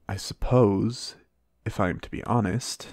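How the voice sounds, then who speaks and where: A man playing a reserved, dry-witted American knight in a fantasy ASMR roleplay, speaking in measured, formal banter. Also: fairly low pitch, a little mellow, no room sound. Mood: reluctant admission.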